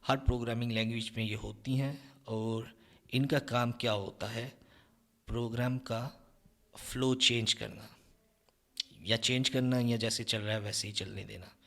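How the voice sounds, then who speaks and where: A young man explaining programming step by step in a relaxed screen-recorded lesson, often checking in with listeners. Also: mid-range voice, a little slow. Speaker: programming tutor.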